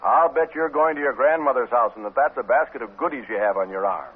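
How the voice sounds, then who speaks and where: A man's voice: medium pitch, quick pace, breathy edge, lightly acted. Sly storybook wolf, put on by a man. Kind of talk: storybook parody in radio announcement. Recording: narrow-band 1950s radio recording.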